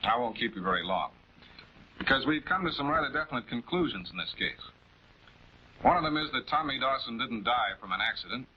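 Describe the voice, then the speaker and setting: An actor playing a composed, methodical male prosecutor, speaking with deliberate authority in a 1940s television crime drama. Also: mid-range voice, animated, slight background noise, close to an everyday voice. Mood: firm questioning. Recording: dull 1940s film soundtrack.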